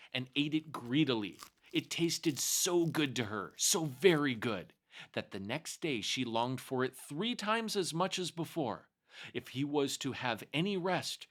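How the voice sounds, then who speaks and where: A man narrating a fairy tale aloud as a storyteller, expressive and measured in his delivery. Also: on the high side.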